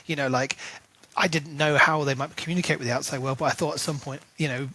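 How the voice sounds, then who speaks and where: A man with a somewhat higher voice co-hosts a casual book-discussion podcast. He talks in long, animated run-on stretches and leans on fillers as he works through his thoughts. His delivery is loose and conversational rather than scripted. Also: fast talker. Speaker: podcast co-host.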